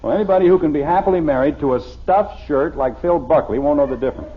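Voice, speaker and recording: An actor playing a stubborn, defensive middle-aged American suburban father in a blustery, exasperated 1950s radio sitcom style, with a husky voice. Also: a little high, fast talker, a little hiss or hum, natural voice. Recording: dull, lo-fi old radio recording.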